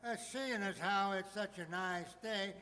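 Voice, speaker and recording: An actor playing a genial, teasing older judge with folksy delivery in a radio comedy. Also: male voice, sits high, unhurried, bright, forceful tone. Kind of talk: old-time radio comedy acting. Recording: echoey hall stage recording.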